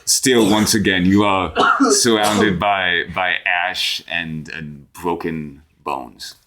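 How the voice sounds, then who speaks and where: A man narrating an improvised tabletop game as game master, halting and repeating phrases as he describes the scene. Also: low voice, fairly even intonation, a loud crowd.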